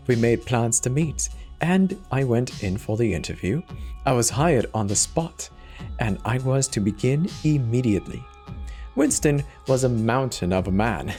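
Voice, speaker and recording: A male storyteller reads a scripted horror tale in a dramatic style. His pitch is middling and moves in a lively way. Music plays clearly behind him.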